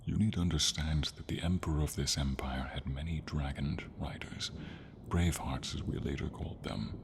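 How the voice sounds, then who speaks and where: A man voicing a friendly, devoted silver dragon in an ASMR fantasy roleplay, deep and breathy, speaking tenderly with dramatic pauses. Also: fairly even intonation, background noise. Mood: awed, grave.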